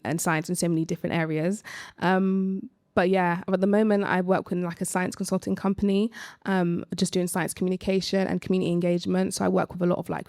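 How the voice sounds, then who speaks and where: A woman's voice: on the low side, vocal fry, darkish tone, no echo, studio quality. A woman working in science communication, answering casually on a panel and often saying "like" as she goes. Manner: frequent "like" as filler.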